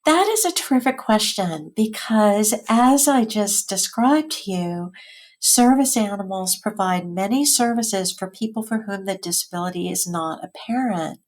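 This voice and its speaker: An American woman speaks as an expert interview guest. Her delivery is articulate and measured, laying out points in an explanatory way at a middle pitch. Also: lively intonation. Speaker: expert guest, physician and health policy researcher.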